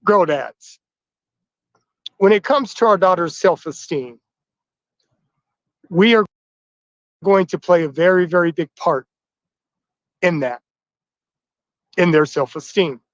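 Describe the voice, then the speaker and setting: Man, 50s, stuffy from flu, higher-pitched voice, upbeat and welcoming, dull dry lo-fi livestream sound. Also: slow, pauses often, no echo. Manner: upbeat, welcoming, addressing the fathers. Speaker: host of a livestream. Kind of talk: livestream address to viewers.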